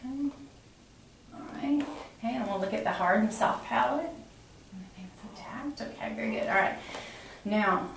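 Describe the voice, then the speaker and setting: A woman's voice: on the high side, moderate pace, vocal fry, pauses often, a bit bright. A middle-aged woman giving a physical exam, politely explaining each step to her patient as she goes. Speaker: clinical examiner demonstrating a physical exam. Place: small cinder-block exam room, indoors. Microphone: camera mic from across the room. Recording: very echoey room, distant camera mic, noisy.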